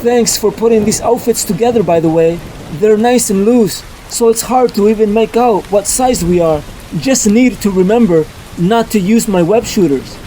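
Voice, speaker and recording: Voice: mid-range voice, normal speed, warm tone, a little hiss or hum, lightly acted, poor audio. A performer voicing a young male superhero who is earnest, apologetic and set on a hard choice, in a fan dub of a motion comic. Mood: matter-of-fact. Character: young male superhero, guilt-ridden and determined.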